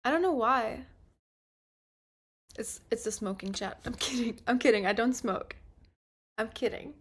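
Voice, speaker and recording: A woman's voice: on the high side, a little slow, breathy edge. A woman in her early twenties chatting casually with her stream while doing her makeup, rambling with lots of filler words. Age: 20s. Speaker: livestreamer.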